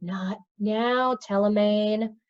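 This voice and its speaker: A woman's voice: mid-range voice, fairly even intonation, drawn-out words, darkish tone, highs cut off. A woman voicing a blunt, sceptical female storybook character who questions people directly. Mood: demanding.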